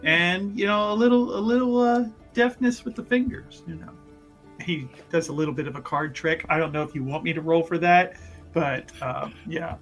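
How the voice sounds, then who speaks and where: A man's voice: a little high, moderate pace, fairly bright tone, faint music behind. A man playing a tabletop roleplaying game, chatting in a casual, joking way and often interjecting. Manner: playful, interjecting, laughs easily.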